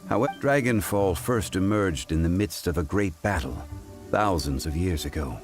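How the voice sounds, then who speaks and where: A man playing a calm, scholarly gentleman in a video game, speaking in a measured, formal and pensive manner. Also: low voice, faint music behind. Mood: lecturing.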